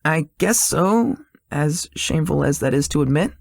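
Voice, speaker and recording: A woman's voice: deep voice, animated, normal speed, vocal fry, darkish tone, no echo, clean studio sound, heavily acted. A woman voicing a shy, easily flustered, sweet young man in a visual novel, with a hesitant manner. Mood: shy, bashful. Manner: hesitant, stammering when embarrassed. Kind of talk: visual novel character acting.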